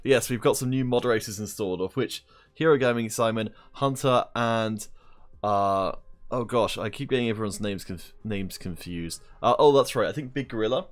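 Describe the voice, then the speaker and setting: A man's voice: on the low side, normal speed. A man casting a game stream, apologizing to viewers and rambling through chatty, self-deprecating remarks. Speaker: esports caster. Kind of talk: casual stream commentary.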